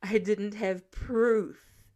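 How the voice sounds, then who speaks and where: A woman's voice: mid-range voice, fairly even intonation, drawn-out words, a little mellow. A woman voicing a sardonic older male inventor who teases his young companion in an audiobook story. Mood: exasperated, smug. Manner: dry, exasperated, teasing.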